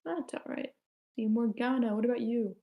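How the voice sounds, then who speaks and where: A woman's voice: fairly high pitch, moderate pace, narrow, tinny audio. A woman hosting a group discussion in a casual moderating style.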